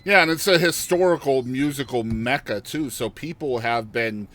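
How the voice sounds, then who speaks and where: A male podcast host runs a casual interview. He asks long, meandering questions and backs up what his guest says with quick agreements. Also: mid-range voice, fairly bright tone. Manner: affirming, asks rambling questions.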